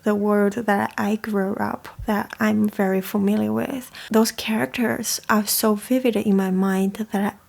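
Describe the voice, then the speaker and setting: A woman's voice: mid-range voice, steady tone, a little slow, vocal fry, hardly any pauses, a little mellow. A young woman from China, talking casually and thoughtfully as the host of a coffee chat. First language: Chinese. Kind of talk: casual reflective talk.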